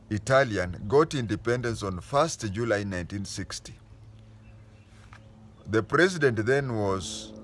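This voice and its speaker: A man with a Kenyan accent telling history in a casual lesson, unhurried, often stopping to restate and correct himself mid-sentence. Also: lowish voice. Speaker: history explainer.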